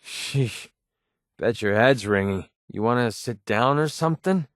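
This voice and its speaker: An actor playing a cynical, wisecracking young mercenary in a video game, with a sardonic, casual, streetwise delivery. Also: male voice, medium pitch, animated, a little slow, fairly bright tone, no room sound, clean studio sound. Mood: wry. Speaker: video game companion character. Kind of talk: video game voice acting, short scripted barks.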